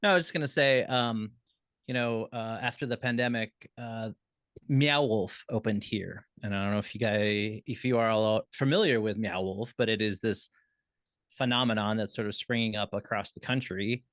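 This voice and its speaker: A man speaking as a panelist on a video call, explaining casually in short, halting phrases. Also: fairly low pitch, fairly bright tone, no echo, clean studio sound. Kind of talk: conversational panel discussion.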